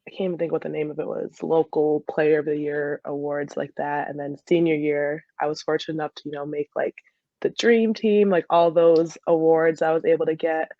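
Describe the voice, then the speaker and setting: A woman's voice: low-pitched, little pitch movement, a little fast, no room sound. Chatty young American woman, slightly dull audio. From Michigan, USA. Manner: chatty, laughs often while talking. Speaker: interview guest, former college soccer player. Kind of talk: casual conversational interview answers.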